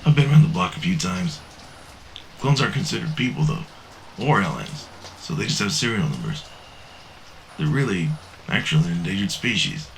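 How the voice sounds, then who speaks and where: A man's voice: on the low side, big pitch swings, moderate pace, vocal fry, long pauses, slight background noise, rough recording. A man playing a wary, street-smart cyberpunk drifter in an audio drama, terse and cautionary. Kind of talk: science fiction audio drama acting.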